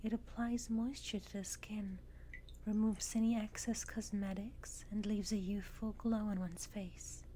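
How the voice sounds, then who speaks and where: A woman playing a devoted, gentle Regency lady's maid in a whispered ASMR roleplay, speaking in a hushed, formal, deferential manner. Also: mid-range voice, flat delivery, a little slow, a little hiss or hum, close to an everyday voice. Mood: reverent, attentive. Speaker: ASMR performer. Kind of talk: whispered ASMR roleplay, scripted period narration.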